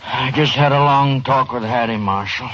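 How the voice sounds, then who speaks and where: An actor playing a kindly, forgiving old rancher in a radio western, plain-spoken and folksy with a rural American accent. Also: male voice, medium pitch, normal speed, a bit bright, close to an everyday voice. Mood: troubled. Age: older. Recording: muffled, hissy old radio recording.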